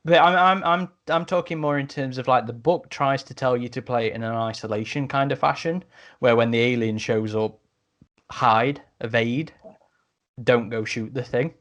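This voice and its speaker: Medium-pitched male podcast voice. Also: fairly even intonation, moderate pace, fairly bright tone, muffled recording. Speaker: podcast panelist. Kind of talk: casual podcast chat.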